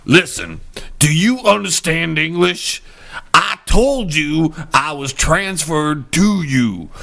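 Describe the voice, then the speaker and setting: Man acting as cranky, bright-voiced customer Shady. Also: on the high side, expressive, slow, gravelly, heavily acted. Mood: fed up. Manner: exasperated, blunt, cursing complainer. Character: irritable, cranky cable customer named Shady, quick to curse. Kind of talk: comedy sketch phone-call acting.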